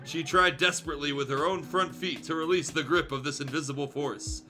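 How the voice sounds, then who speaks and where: A man's voice: fairly high pitch, fairly even intonation, fairly quick. A man streaming a fan fiction reading, narrating the story with casual, irreverent, sweary asides. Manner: crude, joking, sweary. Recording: music behind the voice.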